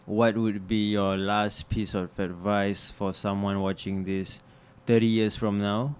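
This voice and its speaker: A man hosting a casual interview, relaxed and chatty, asking long questions. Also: on the low side, a little slow, slight background noise. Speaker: interview host.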